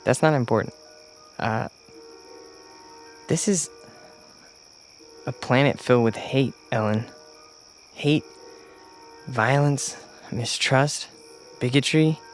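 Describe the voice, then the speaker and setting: A man's voice: medium pitch, lightly acted. Man playing an earnest young alien, music behind. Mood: earnest, troubled. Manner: earnest, halting. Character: young male alien invader posing as human, conscience-torn. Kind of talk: radio drama acting.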